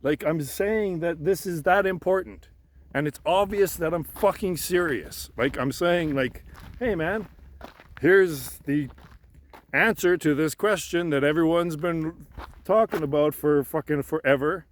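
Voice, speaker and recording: A man in a halting, rambling unscripted monologue, frustrated and insistent, swearing and repeating himself. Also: fairly high pitch. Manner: frustrated, insistent, profanity-laced; halting, repeats words and restarts. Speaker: amateur theorist making videos. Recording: noticeable background noise.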